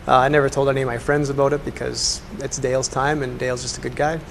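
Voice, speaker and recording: Man, Canadian accent, gravelly, even intonation, casual reminiscing, reverberant room. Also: mid-range voice, fast. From Canada, Alberta. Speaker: colleague giving a tribute. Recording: reverberant room, faint background noise.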